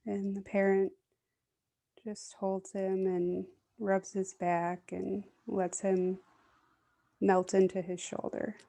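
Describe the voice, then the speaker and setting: A woman leading a guided meditation in a gentle, soothing, unhurried way, leaving long pauses. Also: mid-range voice, level tone, vocal fry.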